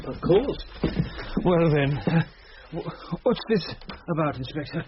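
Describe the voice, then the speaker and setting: A man's voice: a little high, lively intonation, slow pace, highs cut off, noisy background. An actor playing an anxious, protective householder in a radio drama, a British man who stammers nervously and speaks deferentially. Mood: flustered.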